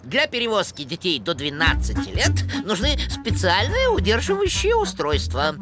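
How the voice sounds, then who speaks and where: A woman's voice: mid-range voice, huge pitch swings, normal speed. A woman voicing a motherly, instructive female owl in an educational cartoon, lecturing expressively. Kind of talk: animated educational cartoon acting. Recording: music behind the voice.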